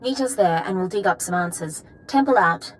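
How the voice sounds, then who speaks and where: A woman playing an earnest young female military ensign in a video game, speaking with crisp, dutiful formality. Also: medium pitch, animated, moderate pace, a little hiss or hum, close to an everyday voice. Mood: businesslike. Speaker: game character voice actor. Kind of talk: video game dialogue acting.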